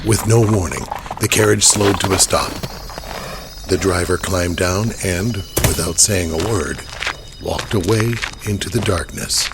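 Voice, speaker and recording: A man's voice: low-pitched, a little slow, vocal fry, heavy background noise. A man narrating a horror audio drama in a dramatic, scripted storytelling style.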